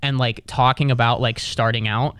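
Animated, profane young comedian host. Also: male voice, normal speed, clear, resonant tone, dry recording. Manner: animated, profane, jumps up in pitch when ranting. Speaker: stand-up comedian, podcast host. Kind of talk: casual podcast chat.

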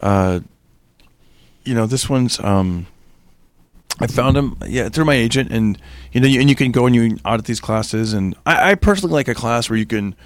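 A man who works as an actor, chatting as a guest and often halting and repeating his words. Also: mid-range voice, expressive, dry recording. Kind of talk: casual chat.

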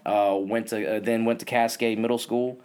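Casual male host who was born in Vietnam, relaxed and slangy, laughs a lot while he tells stories. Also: mid-range voice, normal speed, clean tone. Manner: laid-back slangy storytelling, laughs often. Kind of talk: casual chat.

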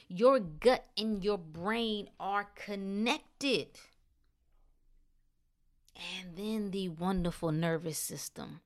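Casual woman vlogger, mid-pitched, who hammers points home by repeating them. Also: vocal fry. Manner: emphatic, repeats phrases, chatty asides. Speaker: health and detox vlogger. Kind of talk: casual talk to camera.

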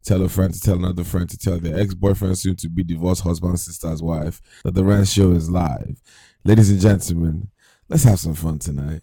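A man's voice: sits low, steady tone, a little fast, warm tone, slightly rough, dry recording. A young man hosting a casual podcast rant show, hyping the audience and joking with his co-hosts. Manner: hype opener, then loose joking chat with laughs. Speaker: host.

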